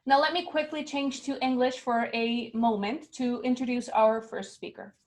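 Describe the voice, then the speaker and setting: A woman hosts an online session in a friendly way, often pausing on fillers. Her voice sits a little high. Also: steady tone, darkish tone. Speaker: moderator. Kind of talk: event moderation.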